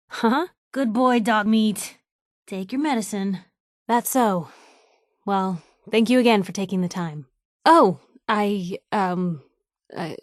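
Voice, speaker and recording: An actress playing a feisty, sarcastic young woman reporter in a video game, with a brash, conversational and expressive delivery. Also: mid-range voice, dry recording, studio quality, lightly acted. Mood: wry, concerned, then casual, wry. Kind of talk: video game companion voice lines.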